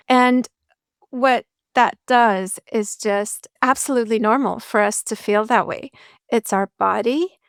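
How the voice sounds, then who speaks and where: Female speaking coach, interview guest; smooth voice with some fry, dry close sound, measured and reassuring. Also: mid-range voice, no room sound. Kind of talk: podcast interview answers.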